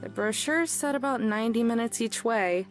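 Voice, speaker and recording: A woman's voice: on the high side, normal speed, close to an everyday voice. An actress playing a wry, downhearted young woman in a visual novel, with a dry, understated delivery. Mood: dry, subdued. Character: young woman, wry, teasing but downhearted road-tripper. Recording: game audio with music behind.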